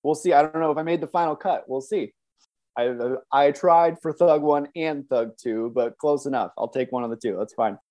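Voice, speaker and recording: A man's voice: fairly high pitch, moderate pace, darkish tone. An American man from the Chicago suburbs chatting casually as an interview guest, in a self-deprecating, chuckly way. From suburban Chicago, United States.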